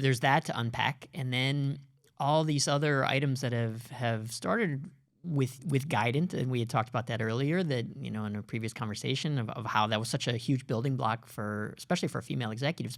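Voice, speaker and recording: A man's voice: medium pitch, fairly quick, vocal fry. A man hosting an interview, asking curious, rambling questions in a casual way. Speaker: host. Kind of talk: conversational interview.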